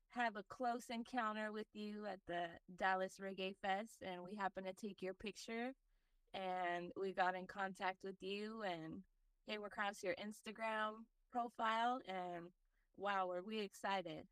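A woman co-host speaks in an upbeat, affirming way with a smooth, resonant tone at a middle pitch. The sound is dry and picked up from some distance. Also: steady tone, measured pace, a bit bright, dry recording. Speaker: podcast co-host. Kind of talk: casual chat.

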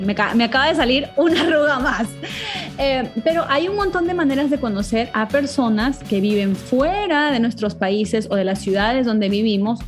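A woman in her thirties from Peru, hosting a chatty, gossipy podcast conversation. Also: on the high side. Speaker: podcast host. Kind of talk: casual chat.

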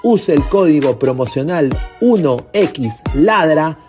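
A man's voice: fairly high pitch, lively intonation, measured pace, a little mellow. A man singing a promotional ad jingle over a beat. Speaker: ad announcer. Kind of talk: sung advertising jingle. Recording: jingle over hip hop music.

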